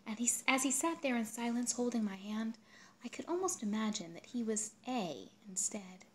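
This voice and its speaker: A woman reading literary fiction aloud in a dramatic, expressive way, at times hushed. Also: fairly high pitch, normal speed, slight background noise. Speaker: translator reading their own literary translation.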